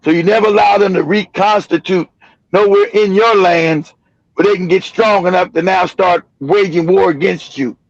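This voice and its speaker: A man hosting a livestream, talking in an animated, emphatic way, swearing and repeating himself. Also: high-pitched, normal speed, dry recording. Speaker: livestream host. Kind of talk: heated livestream commentary. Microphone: streaming mic. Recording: dry, dull lo-fi stream audio.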